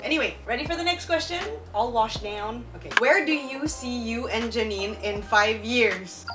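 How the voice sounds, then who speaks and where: A woman's voice: on the high side, moderate pace. A young woman hosting a casual vlog game who giggles often and reads questions aloud, filmed in a small pink room by a distant camera. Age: young adult. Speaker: vlogger host. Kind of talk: casual chat. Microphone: far camera. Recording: roomy and distant with music. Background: background music.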